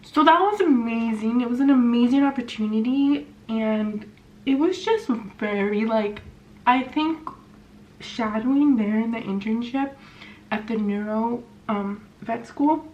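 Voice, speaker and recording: A young woman in her twenties from California talks in a loose, rambling way, full of fillers and restarts, as she does her makeup. Her voice sits a little high. The sound is slightly dull, with a faint hiss underneath. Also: a little slow. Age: 20s. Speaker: vlogger. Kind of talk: casual chat while doing makeup. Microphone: camera mic.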